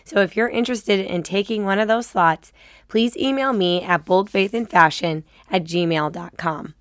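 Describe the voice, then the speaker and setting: A woman hosting a casual faith and style podcast, slightly raspy from a cold, upbeat and encouraging. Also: medium pitch, moderate pace, fairly bright tone, no room sound, clean studio sound. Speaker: podcast host and style coach.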